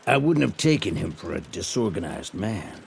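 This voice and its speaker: An actor playing a wry, deadpan detective in a noir audio drama, with a low, gravelly voice. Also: male voice, slight background noise, heavily acted. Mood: dry, probing. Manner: slow, dry, deadpan. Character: wry, heavy-drinking 1930s police detective, sardonic noir man.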